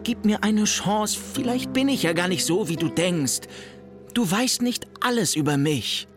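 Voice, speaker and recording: An actor playing a young, hot-headed male rebel in a radio drama, with an excitable, sneering delivery. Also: sits high, unhurried, music in the background. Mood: pleading. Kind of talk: German radio drama acting.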